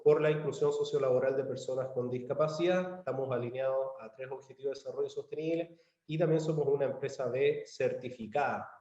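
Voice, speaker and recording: A man's voice: fairly high pitch, a little mellow, echoey room, highs cut off. Chilean man presenting on a video call. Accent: Chilean Spanish. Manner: measured, with frequent fillers and restarts. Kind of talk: virtual conference presentation. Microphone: computer mic on a video call.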